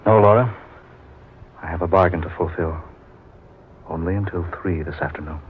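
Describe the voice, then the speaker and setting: An actor playing a grim, fatalistic husband in a melodramatic old-time horror radio drama. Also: male voice, low-pitched, normal speed, natural voice. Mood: grim, resigned. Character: grim, fatalistic husband and businessman declared dead. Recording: noisy, tinny old-time radio recording.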